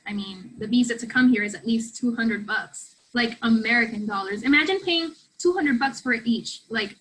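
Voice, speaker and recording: High-voiced young student played by a woman, slangy. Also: a bit bright, close to an everyday voice, low-quality recording. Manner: casual, slangy, rambling, sweary. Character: young South American woman student, blunt, playfully crude. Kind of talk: play reading, naturalistic conversational acting.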